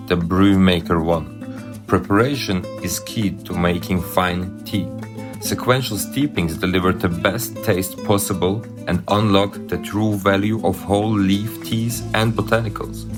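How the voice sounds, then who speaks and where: A man reads a polished sponsor spot with a smooth, selling delivery. His voice sits a little low. Clear guitar music plays under him throughout. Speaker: inventor in a sponsor ad. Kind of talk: scripted ad read.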